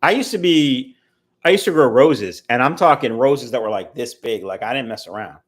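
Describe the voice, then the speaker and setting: A man in his early fifties hosts a casual livestream, reading viewer comments aloud and answering each in turn. His voice sits in a middle register but swings widely in pitch as he gets animated. He rambles and restarts as he talks. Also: moderate pace. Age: 50s. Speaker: fishkeeping livestream host reading chat. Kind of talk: casual live chat.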